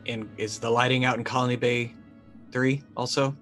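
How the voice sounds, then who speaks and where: A man's voice: mid-range voice, level tone, normal speed, a bit bright, faint music behind, lightly acted. A man voicing an uneasy, polite space colonist in a tabletop game, hesitant and wry. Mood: uncertain. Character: uneasy, polite male colonist waking in a wreck.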